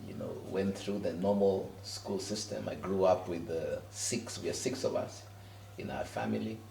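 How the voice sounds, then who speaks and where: Deep, gravelly Kenyan man, far off. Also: moderate pace, dark tone, reverberant, a little hiss or hum. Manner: easygoing, laughs often, many fillers. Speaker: pastor. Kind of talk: relaxed interview answers. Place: living room in a house.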